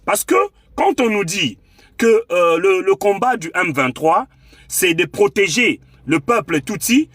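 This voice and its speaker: A man's voice: sits high, animated, measured pace, a bit bright, breathy edge, no room sound. A man with a Congolese accent delivering an impassioned political monologue as a commentator, emphatic and rhetorical, repeating phrases for effect. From Democratic Republic of the Congo.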